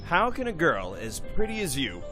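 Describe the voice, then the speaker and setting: A man's voice: fairly high pitch, wildly swooping pitch, moderate pace. A man in his twenties playing a charming, eager young returned serviceman in an amateur audio drama. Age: 20s. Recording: background music under dialogue.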